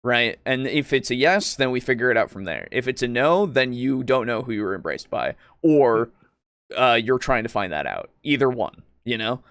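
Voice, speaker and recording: A man's voice: mid-range voice, big pitch swings, normal speed, fairly bright tone, clear, resonant tone, no room sound. Rambling man in a casual, close dry chat. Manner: rambling, hesitant, searching for words; casual swearing. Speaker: podcast co-host. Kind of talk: casual tabletop roleplaying discussion.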